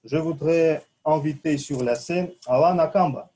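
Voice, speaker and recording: A man hosting a speaking club meeting, introducing speakers in halting speech full of fillers. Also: on the high side, dark tone. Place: meeting in front of an audience.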